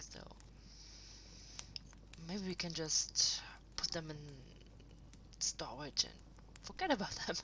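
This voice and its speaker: Woman acting hushed, whispery affectionate girlfriend. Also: sits low, full character voice, low-quality recording. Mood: gently sheepish. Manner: soft, breathy, hesitant rambling with trailing pauses. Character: affectionate young woman partner, cozy and rambling at home. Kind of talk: intimate unscripted audio roleplay, spoken to the listener.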